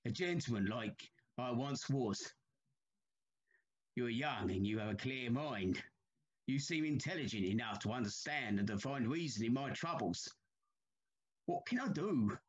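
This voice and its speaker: An actor playing an anxious, haunted older railway signalman in a Victorian ghost story, troubled and halting, with formal old-fashioned speech. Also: mid-range voice, animated, breathy edge, dull audio. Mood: despairing, pleading.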